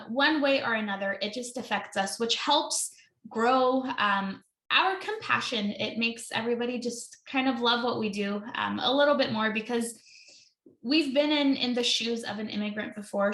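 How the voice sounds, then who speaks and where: A woman's voice: on the high side, a bit bright, reverberant, low-quality recording. A woman in her thirties, an immigration attorney, presenting a webinar in a chatty way with many ums and self-corrections.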